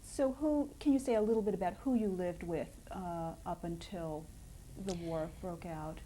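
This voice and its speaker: A woman interviewer asking measured, formal interview questions. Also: lowish voice, warm tone, a little hiss or hum, low-quality recording.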